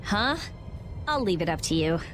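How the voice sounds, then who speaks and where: A woman's voice: on the low side, big pitch swings, moderate pace. A woman voicing a curt, impatient, easily exasperated teenage genius girl in an anime game dub over music. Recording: loud game music behind.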